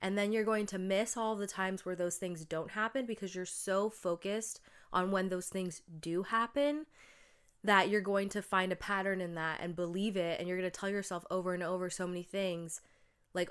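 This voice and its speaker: A woman's voice: fairly low pitch, steady tone, normal speed, clean tone. A young woman hosting her own podcast, talking casually and chattily with lots of fillers, her voice still stuffed up from a cold. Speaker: podcast host. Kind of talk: casual solo podcast monologue.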